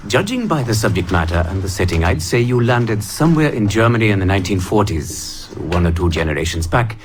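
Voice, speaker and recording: Man playing research scientist, attentive colleague, animated intonation, video game dialogue. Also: on the low side, a little fast, background noise, natural voice. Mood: measured, interested.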